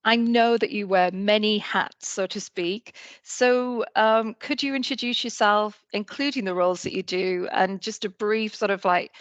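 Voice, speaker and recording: A woman moderating a panel on a video call, upbeat and hesitant with ums, her voice croaky. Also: mid-range voice, measured pace, few pauses, dry recording, lo-fi sound.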